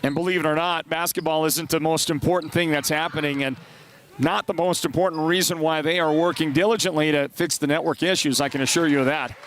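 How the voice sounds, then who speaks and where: A man with a congested, worn-down voice calls a basketball game play by play, wandering into chatty asides between plays. His voice sits a little high for a man. Faint gym sounds and bouncing balls come through behind him. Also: fairly even intonation, brisk, fairly bright tone, slight background noise. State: congested, voice partly lost. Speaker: play-by-play announcer.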